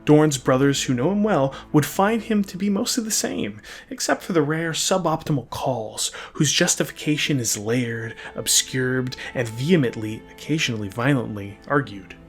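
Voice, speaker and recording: A man's voice: medium pitch, lively intonation, moderate pace, few pauses, husky. A man hosting and narrating a fan lore video, mixing scripted storytelling with casual, emphatic, wry asides. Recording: music behind the voice. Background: background music.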